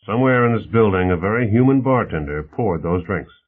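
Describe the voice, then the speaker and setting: A man's voice: on the low side, lively intonation, brisk, pitched above the performer's own voice. A man voicing a sour, sardonic male city official in an audiobook, with a dry, terse manner. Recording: narrow phone-like band.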